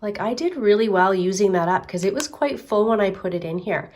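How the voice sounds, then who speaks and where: A middle-aged woman chats to camera about her makeup collection, rambling easily with self-deprecating asides. Her voice sounds slightly nasal as she gets over a cold, and she talks at an ordinary, mid-range pitch. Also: expressive, a little fast, darkish tone. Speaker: beauty vlogger. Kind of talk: casual chat to camera. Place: home, then moves upstairs to makeup desk.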